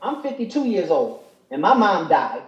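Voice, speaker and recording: A man's voice: high-pitched, very animated, moderate pace, roomy sound, highs cut off. A man in his fifties on a live talk show, making emphatic points and tagging them with "okay?". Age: 50s. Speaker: guest.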